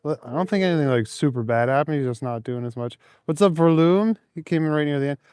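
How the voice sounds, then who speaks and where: A man's voice: a little high, expressive, quick pace, a little mellow, clean tone, no room sound. A man live streaming while out on cold city streets, reading chat aloud in an easygoing, chuckling, rambling way. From Canada.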